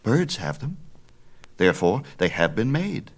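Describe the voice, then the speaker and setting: A male narrator plays a headstrong young son in a dramatic storybook tale. The voice is lifted well above a deep narrator's register and moves in bold swings. He is eager, insistent and persuasive. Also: big pitch swings, a little slow, full character voice. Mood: excited, persuasive.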